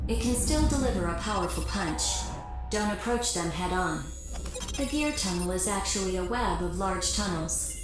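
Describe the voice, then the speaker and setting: A woman's voice: mid-range voice, natural voice. Woman acting formal briefing officer, heavy echo. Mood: composed, stern. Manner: formal, measured, businesslike. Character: formal composed female military briefing officer. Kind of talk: scripted game mission briefing. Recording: very echoey, music behind.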